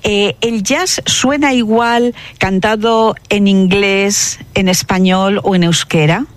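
A woman's voice: mid-range voice, steady tone, slow pace, dry recording. A woman interviewing a guest on the radio, hesitant, with lots of "eh" and "mm" between her words.

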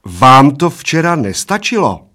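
A man's voice: a little high, very animated, bright, forceful tone, voice pushed up, exaggerated voice. A man voicing a stern, fed-up barmaid in a story, scolding with clipped, chopped syllables.

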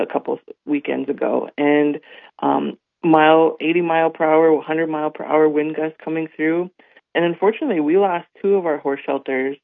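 A man with a slightly raised, somewhat hoarse voice tells stories in a radio interview, leaning on fillers and checking in with "right?". The sound is dry and thin, like a phone line. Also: a little high, dry recording. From North Dakota. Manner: warm storytelling with many fillers and "right?". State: voice a little hoarse. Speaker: guest. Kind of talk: radio interview, casual talk.

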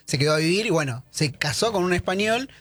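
Man, high voice, walks co-hosts through stats in a dry studio read. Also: lively intonation, fast talker, a bit bright, no room sound. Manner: explanatory, lists facts and names. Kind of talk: casual sports talk.

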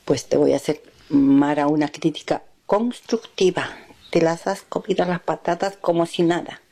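Low-voiced woman. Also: moderate pace, creaky, mellow. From Peru. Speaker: listener leaving a voice note. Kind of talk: informal voice message.

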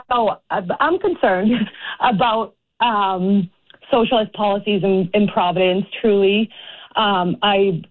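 A woman in her thirties with a Boston accent, a candidate talking earnestly on a radio call-in with lots of ums and restarts. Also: medium pitch. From Boston area. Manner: earnest, halting, with many fillers. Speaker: congressional candidate, radio guest. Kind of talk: casual radio interview. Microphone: phone call. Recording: tinny phone line.

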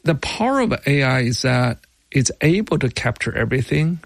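A middle-aged man from China with a Chinese accent, a non-native English speaker explaining his work as a tech founder in an interview, with halting, deliberate phrasing. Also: mid-range voice, big pitch swings, gravelly, dry recording. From Beijing, China.

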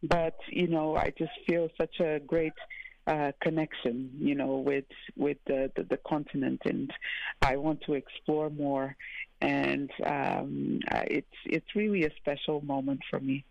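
A woman from Portugal, a musician guest in a radio interview, talking in a halting way with many fillers and restarts. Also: deep voice, steady tone, creaky, no room sound, highs cut off. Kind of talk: casual interview.

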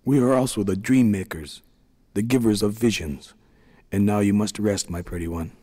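Storybook: gentle tiny chief of the little people, read by a man, calm, low, roomy reverb. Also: moderate pace, lightly acted. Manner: gentle, soothing. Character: kind tiny middle-aged leader of little people.

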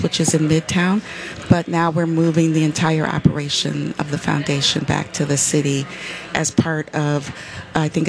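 Guest speaker from West Michigan, mid pitch, measured, explains with lots of uh's. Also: vocal fry, slight background noise. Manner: measured, many "uh" fillers while explaining. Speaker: foundation representative, guest. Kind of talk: explanatory interview answers.

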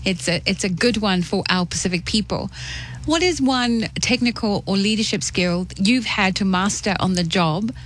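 A woman's voice: mid-range voice, moderate pace. A woman hosting a radio show, upbeat and welcoming, sometimes stumbling over words as she introduces and questions a guest. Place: radio studio.

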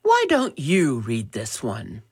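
Woman reading as a gentle, patient father. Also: very deep voice, huge pitch swings, slow pace, husky, heavily acted. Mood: reassuring. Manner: gentle, encouraging.

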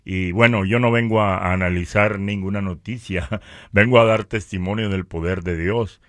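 A man from northern Mexico with a Mexican accent, giving a conversational religious testimony in a halting way, repeating words. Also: fairly low pitch, fairly even intonation, a bit bright, no echo, clean studio sound.

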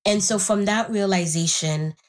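A woman's voice: mid-range voice, moderate pace. A young woman from Haiti whose first language is Haitian Creole, speaking earnestly and reflectively as an interview guest. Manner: reflective, earnest, searching for words. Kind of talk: personal interview.

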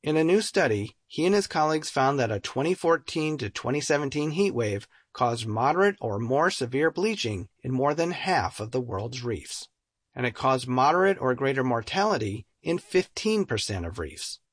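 Husky man narrating a science report. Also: mid-range voice, big pitch swings, normal speed, dry recording, studio quality. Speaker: radio program narrator. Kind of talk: scripted narration.

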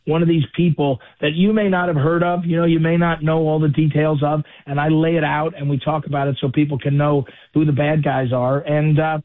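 A man with a voice going hoarse, a radio guest talking casually, repeating and correcting himself. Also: on the high side, little pitch movement, a little fast, few pauses, no room sound. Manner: chatty, self-correcting, repeats words. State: losing his voice. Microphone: phone or call-in line. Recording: tinny phone line.

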